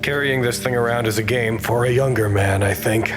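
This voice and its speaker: An actor playing an anxious, weary researcher who narrates a log in a measured, wry way for an audio drama. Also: male voice, medium pitch, music in the background, natural voice. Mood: weary, uneasy.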